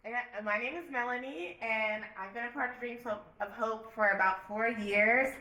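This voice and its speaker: A woman in her twenties casually moderating a post-show talkback, relaxed and full of fillers. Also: fairly high pitch, normal speed. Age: 20s. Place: theater hall. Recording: roomy hall, audience murmur.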